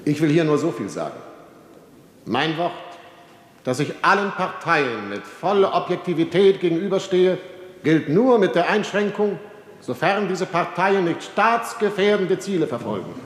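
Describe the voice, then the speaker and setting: Guy doing a stiff formal chancellor speech, bouncy pitch, big echoey hall. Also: lively intonation, normal speed, lightly acted. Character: male imperial chancellor, formal and evasive. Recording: roomy hall with long reverb.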